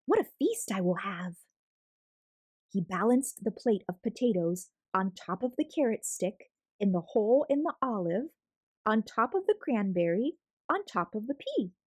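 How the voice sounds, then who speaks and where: A woman reading a children's picture book aloud as a storyteller, expressive and deliberate, drawing out words for emphasis. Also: medium pitch, dry recording. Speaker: storyteller, read-aloud host.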